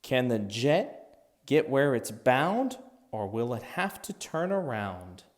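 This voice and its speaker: A man narrating a rhythmic children's picture book as an expressive read-aloud for young listeners. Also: medium pitch, slow.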